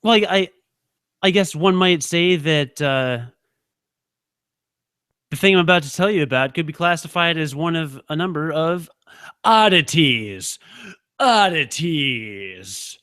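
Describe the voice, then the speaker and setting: A man's voice: on the high side, expressive, takes it slowly, long pauses, bright, forceful tone, clean tone, no echo, clean studio sound. A man co-hosting a comedic podcast with casual banter, sounding stoned, rambling and slangy. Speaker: podcast co-host.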